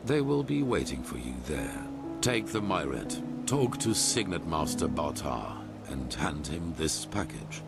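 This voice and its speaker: Very deep, gravelly commanding order leader. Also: male voice, very animated, heavily acted. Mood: solemn, persuasive. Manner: measured, formal, authoritative. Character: commanding older male leader of a religious order, solemn and imperious. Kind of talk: scripted fantasy video game dialogue. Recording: loud music behind.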